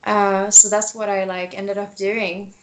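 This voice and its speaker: A woman, a tattoo artist chatting as a guest in a relaxed interview. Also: mid-range voice, unhurried, poor audio. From Sweden. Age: 20s. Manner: relaxed, laughs often, many fillers. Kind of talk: casual interview.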